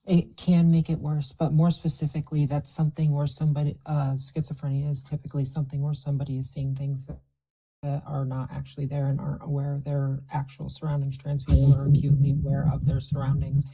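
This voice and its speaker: Woman panelist, low and husky, blunt and combative. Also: monotone, quick pace, poor audio. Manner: forceful, swears, talks over others. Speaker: debate panelist. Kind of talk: heated online debate.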